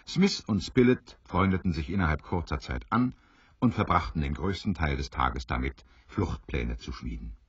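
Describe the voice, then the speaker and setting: A man narrating a dramatised story in a theatrical, deliberate way with a wry touch. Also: very deep voice, breathy edge, no echo, heavily acted. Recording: dry, slightly dull studio recording.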